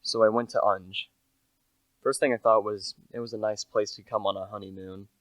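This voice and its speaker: Young man playing a humble, low-voiced salesman. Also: fairly even intonation, moderate pace, a bit bright, no room sound, natural voice, rough recording. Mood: musing. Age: young adult. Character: humble, chatty small-time male relish salesman. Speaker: narrator and lead character. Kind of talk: first-person radio play acting.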